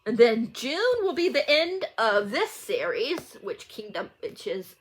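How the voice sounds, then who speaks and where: A woman's voice: on the high side, big pitch swings, unhurried, breathy edge. A woman talking casually to viewers in a rambling, informal book vlog, often getting sidetracked. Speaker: book channel vlogger.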